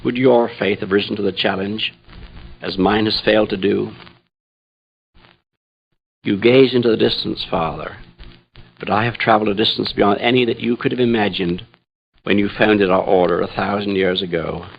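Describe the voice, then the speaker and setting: An actor playing a grave, troubled Jesuit astrophysicist in a radio drama, solemn and measured, with a gravelly, husky voice. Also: male voice, lowish voice, steady tone, muffled recording. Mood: anguished. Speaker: dramatic narrator. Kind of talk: first-person radio drama monologue.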